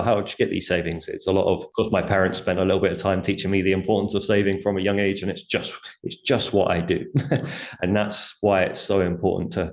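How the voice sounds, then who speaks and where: A man speaking as an interview guest, explaining things conversationally step by step and voicing imagined quotes as he goes. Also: low-pitched. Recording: roomy, echoing sound.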